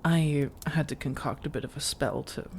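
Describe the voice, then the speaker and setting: A woman playing a flirtatious, coaxing female vampire in a soft-spoken ASMR roleplay, teasing and measured, with intimate pauses and breathy slips into whispers. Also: sits low, a little hiss or hum. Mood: coaxing, affectionate. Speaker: audio roleplay performer.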